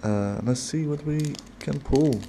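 A man with a non-native accent opening trading cards at a desk, talking casually and haltingly with small laughs. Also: a little high, vocal fry, dark tone, slight background noise. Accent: non-native English. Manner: slow, halting, chatty with little laughs. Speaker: card-opening channel host. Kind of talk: casual card-opening commentary. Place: desk in a dim room.

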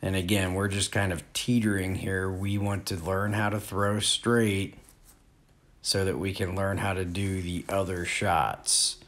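Low-voiced man teaching outdoors. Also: a little slow. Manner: relaxed, explanatory, plain-spoken. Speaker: disc golf instructor. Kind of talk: outdoor instructional talk. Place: open field outdoors.

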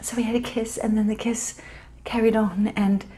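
A woman's voice: a little high, little pitch movement, normal speed, warm tone, a little hiss or hum, voice pushed up, natural voice. Woman acting a frantic, guilty young woman confessing. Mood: rushed, rambling. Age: young adult. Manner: breathless, run-on, urgent, blurting. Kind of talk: dramatic stage monologue.

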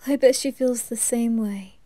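A woman voicing a soft-spoken, devoted servant, gentle and deferential, in an anime fan audio drama. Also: fairly high pitch, a little slow, dry recording, voice pushed down, natural voice. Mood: hushed, gentle.

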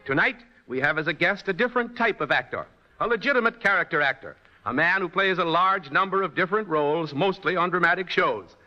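A man's voice: high-pitched, lively intonation, a little fast, pushed and bright. A man hosting a 1940s radio show, announcing and narrating from a script. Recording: dull, noisy old radio recording, long reverb.

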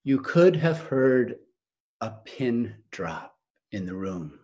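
A man's voice: medium pitch, sing-song, darkish tone, muffled recording. A man playing an awestruck disciple. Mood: astonished. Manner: reflective, wondering, with emphatic pauses. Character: awestruck male disciple recounting his teacher. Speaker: actor in a biblical monologue. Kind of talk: dramatic first-person storytelling.

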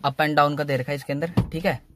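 A man's voice: on the high side, flat delivery, quick pace, background noise. A young man explains driving tips in a loose, repetitive way, saying "theek hai" again and again, recorded on a phone inside a parked car. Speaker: car channel host. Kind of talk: casual how-to talk in a parked car.

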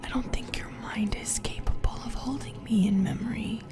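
A woman voicing a possessive sleep paralysis demon in an ASMR horror roleplay, speaking in a breathy, intimate whisper with menacing tenderness. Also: on the high side, steady tone, moderate pace, over music, lightly acted. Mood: fascinated, possessive. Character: sinister, possessive female sleep paralysis demon, teasing and soothing.

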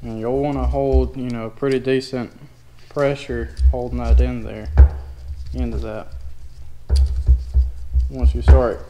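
Male DIY mechanic for a garage how-to: plainspoken and folksy, very little pitch movement, casual step-by-step delivery. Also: level tone, takes it slowly, pauses often, over music. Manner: plainspoken, informal, folksy wording. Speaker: DIY mechanic demonstrating a tool. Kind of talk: casual hands-on tutorial narration. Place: indoor garage workshop.

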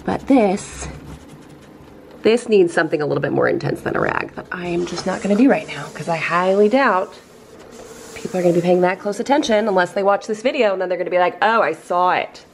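A woman's voice: on the low side, expressive, a little fast. Woman in her 30s, home vlogger, casual clean-along chatter, slight room hum. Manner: chatty, self-deprecating, laughs often. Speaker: lifestyle vlogger. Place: kitchen and entryway of a house, indoors.

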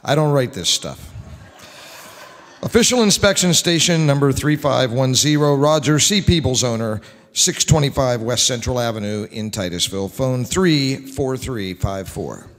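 A man's voice: mid-range voice, animated, moderate pace. Middle aged guy hosting a live radio show, dry joking delivery, big echoey church reverb. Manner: wry, joking asides. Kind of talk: reading old radio ad scripts and introducing acts on stage. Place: large church sanctuary before a seated audience. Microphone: microphone on a stand. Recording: long hall reverb.

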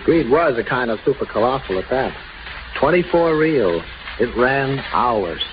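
On a dull, noisy 1930s radio recording, a man with a medium-pitched voice hosts scripted banter. He puts questions and setups to his guest as the interviewer. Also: lively intonation, measured pace.

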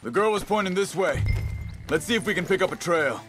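An actor playing a calm, compassionate and watchful Wild West tracker in a video game, with an American accent. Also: male voice, high-pitched, a little fast, soft background music. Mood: earnest, urging. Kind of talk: naturalistic video game acting.

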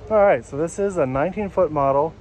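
A man's voice: on the high side, fast talker, darkish tone, faint music behind. A man in his thirties with an American accent, talking casually and in a folksy way as a vlog host, outdoors on a handheld camera. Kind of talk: casual vlog tour talk. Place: outdoors in a residential yard. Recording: noisy outdoor action-camera audio.

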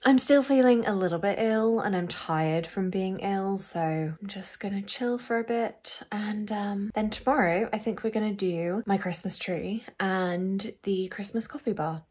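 A woman's voice: medium pitch, a little slow, hardly any pauses, a little mellow, husky. A young woman from England with a head cold, chatting casually and bubbly to a handheld camera in her car and bedroom. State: congested with a cold. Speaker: vlogger. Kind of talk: casual vlog chat. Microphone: camera held at arm's length.

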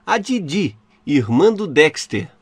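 A man's voice: a little high, big pitch swings, takes it slowly, husky, no echo. A man with a Brazilian accent asking prepared interview questions in a formal, respectful manner.

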